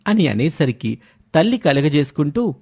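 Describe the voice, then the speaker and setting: A man's voice: fairly high pitch, big pitch swings, normal speed, creaky, darkish tone, slightly rough. A man reading a scripted moral story as the narrator.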